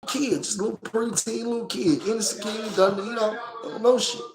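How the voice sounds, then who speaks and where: Hoarse Memphis man with a high voice. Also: moderate pace, a crowd in the background. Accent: Southern US, Memphis. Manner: profane, animated, talks straight to camera. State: hoarse from a cold, coughing. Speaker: vlogger reviewing a movie. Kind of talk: casual spoken movie review. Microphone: phone camera.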